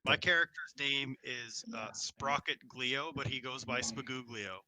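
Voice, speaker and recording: A man's voice: mid-range voice, unhurried, a crowd in the background, low-quality recording. A man playing a tabletop game, telling a story in a casual, humorous style.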